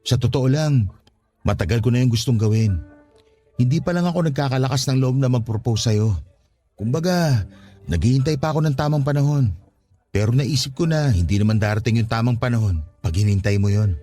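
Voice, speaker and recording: An earnest Filipino young father played by a male actor in a radio drama. He speaks in a coaxing, conversational manner, pleading his case at an ordinary pitch. Music plays softly underneath. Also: brisk, natural voice. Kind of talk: radio drama dialogue in Tagalog. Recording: background music under the dialogue.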